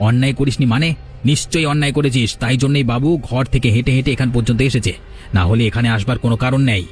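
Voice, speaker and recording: A man's voice: mid-range voice, fairly even intonation, rapid-fire, faint music behind, close to an everyday voice. A man playing a landlord's bullying henchman in a cartoon, hectoring and threatening. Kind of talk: cartoon character dialogue.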